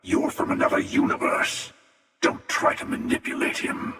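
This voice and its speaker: An actor playing a cynical, menacing older male hunter in a video game, with a gravelly rasp, a sardonic taunting manner and an echoing effect on the voice. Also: normal speed, pushed and bright. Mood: wry, superior. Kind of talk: video game character dialogue. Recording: echoing long reverb, distant sound.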